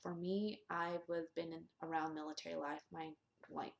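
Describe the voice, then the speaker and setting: A woman's voice: deep voice, fairly even intonation, normal speed, vocal fry, slight background noise. A young woman vlogger talking casually and candidly, with many ums and restarts. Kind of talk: casual vlog. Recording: echoey room.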